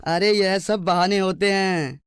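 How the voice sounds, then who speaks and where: A woman's voice: medium pitch, steady tone, slow pace, clear, resonant tone, no echo, studio quality, lightly acted. A woman voicing a greedy, suspicious, newly rich man in a cartoon story, with a dismissive, grumbling manner. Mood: grumbling, possessive. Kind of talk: children's cartoon story dialogue.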